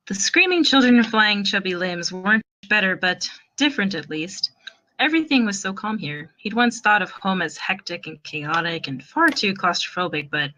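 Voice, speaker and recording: Woman narrator, slightly low voice, bright and crisp-edged, wry, informal, amateur fan fiction reading. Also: low-quality recording.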